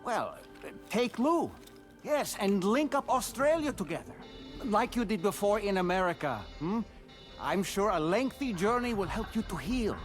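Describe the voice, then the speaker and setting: Genteel eccentric man, really swoopy high voice, theatrical and polite, game cutscene. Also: expressive, measured pace, soft background music. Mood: eager, coaxing. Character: genteel, eccentric, animated male traveling companion urging adventure. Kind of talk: video game cutscene acting.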